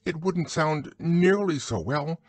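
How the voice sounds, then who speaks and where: A little storybook pig, acted out by a man, talks in a raised, thin pitch. He is timid and anxious, hesitating nervously over his words. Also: expressive, slow pace, breathy edge, no room sound, heavily acted.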